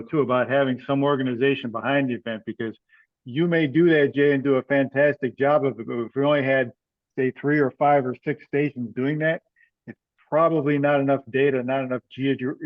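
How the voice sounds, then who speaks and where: A man explaining things casually on a teleconference, somewhat hesitant and measured. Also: mid-range voice, fairly even intonation, dry recording. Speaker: meeting participant. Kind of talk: casual explanatory call discussion. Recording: dull, lo-fi teleconference audio.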